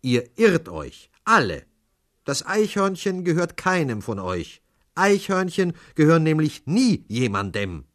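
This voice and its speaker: A man's voice: on the high side, big pitch swings, unhurried, fairly bright tone, pitched above the performer's own voice. A man voicing a kindly, earnest little stationmaster in a children's story, explaining things firmly and emphatically. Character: small stationmaster, kindly, firm, earnest male.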